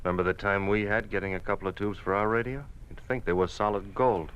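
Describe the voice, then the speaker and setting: Man acting earnest husband, low voice, gentle, hesitant, anxious, old-time radio drama. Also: steady tone, fast talker, clear, resonant tone, highs cut off, lightly acted. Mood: resigned, worried. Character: earnest, anxious husband and father, deferential to officials.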